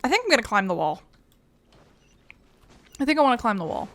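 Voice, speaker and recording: A woman's voice: fairly high pitch, very animated, a little fast, a bit bright. A woman giving casual, wry let's play commentary as a gaming video host, swearing freely.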